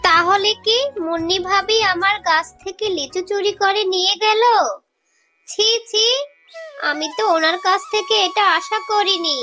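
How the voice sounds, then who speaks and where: Woman as a high-voiced, plaintive cartoon fox mother. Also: measured pace, soft background music, exaggerated voice. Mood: upset, helpless. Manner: plaintive, expressive. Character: poor, hard-working fox mother, plaintive and scolding. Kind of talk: children's cartoon voice acting.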